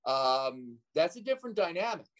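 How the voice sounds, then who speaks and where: Relaxed man guest, slightly high voice. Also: moderate pace. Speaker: guest speaker and educator. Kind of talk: casual interview chat.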